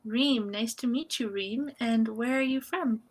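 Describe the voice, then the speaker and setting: A woman from Canada tutoring English on a video call, friendly and encouraging, gently correcting her student. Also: a little high, measured pace. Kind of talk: online conversation lesson.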